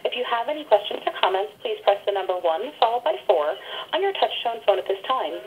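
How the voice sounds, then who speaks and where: A woman's voice: medium pitch, sing-song, a little fast, slight background noise. A woman working as a conference call operator, reading scripted instructions in a formal, polite manner. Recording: tinny narrowband phone line.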